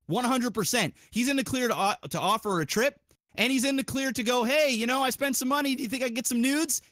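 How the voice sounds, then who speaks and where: A man talking as a podcast host, giving a casual, opinionated monologue in an animated, rambling, profanity-heavy and argumentative way. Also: very high voice, fast talker, no echo, clean studio sound.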